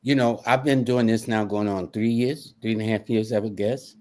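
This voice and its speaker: A man hosting a casual interview show on a video call, chatty and quick to laugh along with his guest. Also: lowish voice, fairly even intonation, dark tone. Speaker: host.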